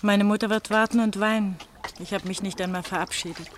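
A woman's voice: mid-range voice, steady tone, brisk, lightly acted. A woman playing an anxious, trusting young pastor's daughter in a radio drama, speaking in a confiding, troubled way. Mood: anxious, rueful. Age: young adult. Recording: trickling water noise behind the voice. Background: trickling, dribbling water.

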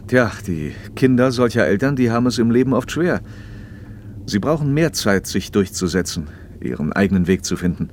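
Very deep-voiced, earnest factory director played by a man. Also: animated, moderate pace, darkish tone, a little hiss or hum, natural voice. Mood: earnest, probing. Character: firm East German factory director, earnest, impatient husband. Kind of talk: German radio drama dialogue.

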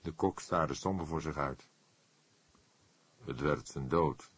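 A man reading a crime novel as an expressive audiobook narrator. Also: extremely low pitch, slow, slightly rough.

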